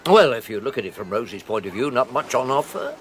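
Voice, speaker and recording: An actor playing a jovial, sly middle-aged husband who chuckles and talks down to a young lodger in a radio drama. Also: male voice, mid-range voice, very animated, quick pace, a bit bright, slight background noise. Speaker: Arthur.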